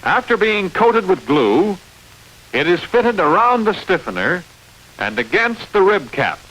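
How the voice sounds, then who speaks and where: A man reading scripted instructional narration for a training film, explaining step by step in a clear manner. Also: sits high, sing-song, measured pace, fairly bright tone, poor audio. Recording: hissy old training film soundtrack.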